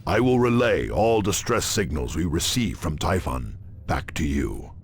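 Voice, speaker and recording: A man playing a grave, authoritative commanding officer in a video game, giving formal, measured mission briefings. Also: deep voice, animated, slightly rough, heavily acted.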